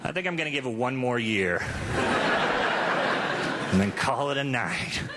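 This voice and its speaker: A man's voice: mid-range voice, animated, a little slow. A man in his thirties doing a conversational, crude, self-deprecating stand-up comedy routine. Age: 30s. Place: live comedy show before an audience. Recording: live club recording, noisy audience. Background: audience noise and crowd ambience.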